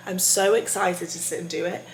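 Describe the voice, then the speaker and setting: Woman, husky, raspy, chatty vlog, faint hiss, roomy echo. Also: on the low side, normal speed. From England. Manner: chatty, many ums, upbeat. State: recovering from tonsillitis. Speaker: vlogger. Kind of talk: casual vlog chat. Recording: echoey room.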